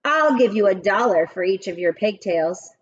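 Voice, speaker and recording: A woman's voice: medium pitch, expressive, moderate pace, husky, muffled recording. A woman reading a children's storybook, voicing a sly male schoolyard teacher who coaxes and bargains. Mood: dismissive bargaining. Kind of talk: children's storybook read-aloud.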